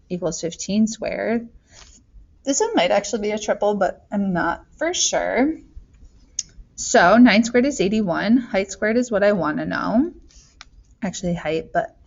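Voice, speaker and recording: Woman, math teacher, casual think-aloud explaining, mid pitch, slightly muffled recording. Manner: chatty, thinks aloud, self-deprecating asides. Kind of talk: casual worked-example lesson.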